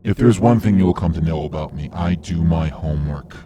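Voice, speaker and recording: A man playing a cold, self-righteous vigilante killer in a radio drama, speaking in a measured, menacing, lecturing way. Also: a bass voice, a crowd in the background. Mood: smug, calculating.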